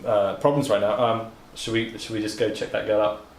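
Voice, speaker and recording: A man's voice: on the low side, fairly even intonation, brisk. A man playing a tabletop game who speaks hesitantly, with many fillers and restarts. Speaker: player. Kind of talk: casual tabletop play. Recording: echoey room with noise.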